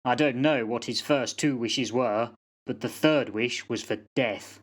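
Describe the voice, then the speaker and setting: A man's voice: mid-range voice, unhurried, natural voice. A man acting in a radio play as a grave old soldier who gives solemn warnings. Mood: grim, rueful. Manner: solemn, foreboding.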